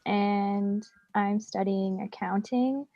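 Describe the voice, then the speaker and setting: Mellow-voiced young woman from Canada. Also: mid-range voice, fairly even intonation, slow pace, gravelly, dry recording. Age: young adult. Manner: hesitant, lots of "like". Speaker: accounting student guest. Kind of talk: casual chat. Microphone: video call. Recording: dry, dull video call audio.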